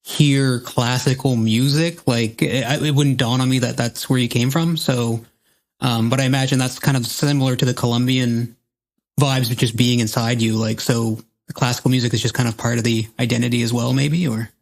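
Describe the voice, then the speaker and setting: A man's voice: mid-range voice, steady tone, moderate pace, vocal fry. Hesitant male podcast host. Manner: hesitant, rephrases questions. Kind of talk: casual interview.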